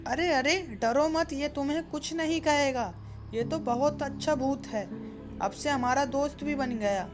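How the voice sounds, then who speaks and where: A woman's voice: sits high, measured pace, over music. A woman voicing a brave, curious village boy in a children's cartoon, earnest and kind. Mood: reassuring. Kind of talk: children's cartoon story acting.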